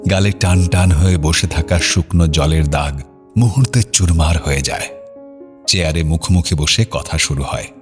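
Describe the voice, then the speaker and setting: Male narrator for audio fiction: deep voice, dramatic scripted delivery, light music underneath. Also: normal speed.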